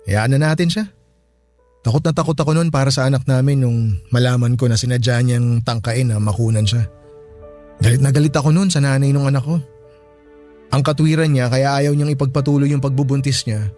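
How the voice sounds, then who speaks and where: Earnest young single dad, medium pitch actor. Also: male voice, normal speed, pauses often, warm tone, close to an everyday voice. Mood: earnest, hurt. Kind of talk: Filipino radio drama acting. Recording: music bed under the voice.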